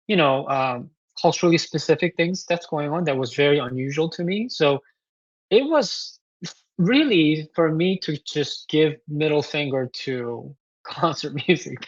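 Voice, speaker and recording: Korean-accented male composer, slightly high voice, reflective interview guest, dry close sound. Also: no echo, lo-fi sound. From South Korea. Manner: thoughtful, many fillers and restarts. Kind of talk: interview answers.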